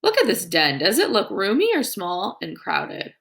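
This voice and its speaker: A woman teacher with a creaky voice reading aloud to young children in an instructive way, with her own comments and questions. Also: medium pitch, wildly swooping pitch, normal speed, a bit bright, slight background noise.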